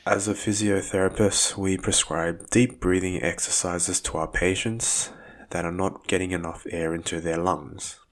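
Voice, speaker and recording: A man guiding a breathing meditation as a physiotherapist, speaking in measured, soothing phrases with calm instruction. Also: deep voice.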